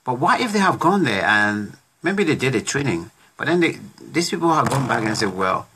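A middle-aged man hosting a casual interview show from a small home studio, talking in halting runs with many restarts. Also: medium pitch, big pitch swings, bright, forceful tone, breathy edge. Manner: halting, many restarts, plays devil's advocate. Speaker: talk show host. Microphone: studio condenser mic on desk.

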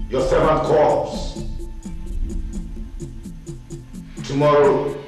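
A man's voice: mid-range voice, distant mic, lightly acted. A man playing a domineering, short-tempered Ghanaian household chief in a film drama, with a Ghanaian English accent. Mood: solemn incantation. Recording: very echoey room, off-mic, music behind.

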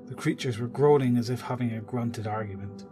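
A man's voice: medium pitch, brisk, over music. A man narrating a scripted horror audio drama.

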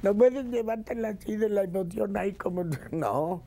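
A man's voice: high voice, moderate pace, vocal fry, mellow. A man, a veteran radio actor, reminiscing emphatically in an interview and repeating phrases for effect.

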